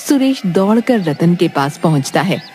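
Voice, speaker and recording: A woman's voice: lowish voice, sing-song, moderate pace, a little mellow. A woman narrating a scripted children's cartoon story in Hindi. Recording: music behind the voice. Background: background music.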